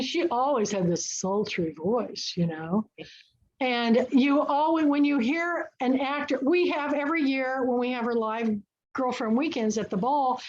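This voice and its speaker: A woman's voice: high voice, lo-fi sound. A woman hosting an online book and film club, chatting eagerly and telling stories. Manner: chatty, enthusiastic storyteller. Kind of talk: casual book and film chat. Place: online video call.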